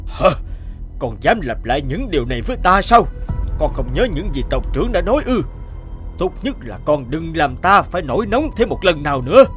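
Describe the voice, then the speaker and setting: A man's voice: a little high, very animated, moderate pace. An actor playing a proud, domineering father in a fairy-tale cartoon. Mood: angry. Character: proud, overbearing father of a village girl. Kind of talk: animated fairy-tale acting. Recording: music behind the voice.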